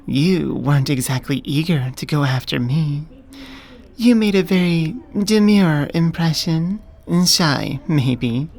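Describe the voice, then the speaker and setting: A woman playing a smitten, businesslike elf manager in a soft-spoken ASMR roleplay, earnest and deliberate. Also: sits low, animated, vocal fry, heavily acted. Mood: tender, smitten. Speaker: voice actor.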